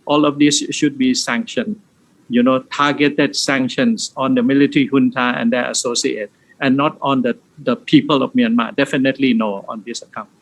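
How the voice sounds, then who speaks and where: A man's voice: medium pitch, fairly even intonation, normal speed, poor audio. A man giving a firm, emphatic political answer at a press conference.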